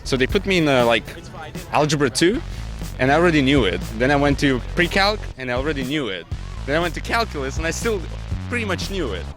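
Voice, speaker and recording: A man's voice: fairly high pitch, lively intonation, quick pace, fairly bright tone, low-quality recording. Young man from Latvia, faint music behind. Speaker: member of the public being interviewed. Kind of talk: casual chat.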